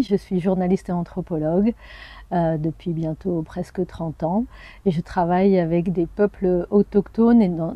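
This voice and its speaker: A French woman in her forties, a journalist and anthropologist, telling her life story in an interview with thoughtful pauses and hesitations. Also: lowish voice, dark tone, no room sound, lo-fi sound. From France. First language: Arabic. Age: 40s. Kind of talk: personal interview.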